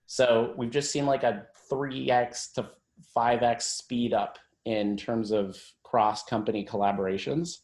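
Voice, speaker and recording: A man gives an informal presentation as a tech executive on a conference call. His voice is mid-pitched, and the sound is roomy, with audible echo. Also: steady tone, unhurried, darkish tone.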